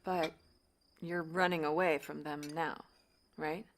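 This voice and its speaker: An actress playing a warm, teasing woman doctor in a naturalistic audio drama, talking casually and telling stories with animation. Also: deep voice, little pitch movement, takes it slowly, a little hiss or hum. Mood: easygoing, frank. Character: warm, teasing woman doctor, old flame, sheltering fugitives.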